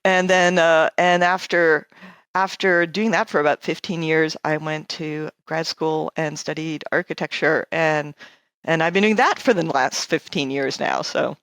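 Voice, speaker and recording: A woman's voice: low voice, steady tone, measured pace, a bit bright, dry recording. A woman in her fifties from Canada, a guest architect who tells stories in a chatty, self-deprecating way. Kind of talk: casual interview chat.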